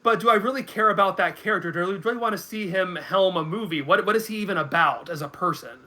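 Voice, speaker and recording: A man giving casual commentary as a guest, halting and often restarting his phrases. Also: high-pitched, sharp tone. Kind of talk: casual chat.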